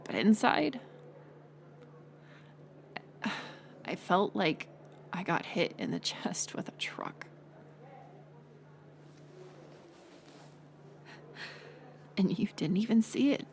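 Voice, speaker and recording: A woman playing a bitter, hurt college-age former friend turned bully, confessing in a halting, intimate audio drama monologue. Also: mid-range voice, expressive. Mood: deflated, bitter. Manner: halting confessional delivery, drifting into breathy near-whispers.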